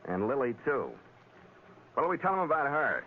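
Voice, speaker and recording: An actor playing a tough, wry sea captain and narrator in a 1940s radio drama, with a measured, hard-boiled delivery. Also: male voice, medium pitch, sing-song, a little hiss or hum, close to an everyday voice. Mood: cool, guarded. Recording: tinny, band-limited 1940s radio recording, orchestral music.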